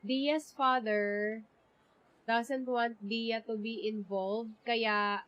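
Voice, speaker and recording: A woman's voice: fairly high pitch, steady tone, drawn-out words, no echo, highs cut off. A woman with a Filipino accent chatting casually as she comments on a game during a live stream. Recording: game music behind.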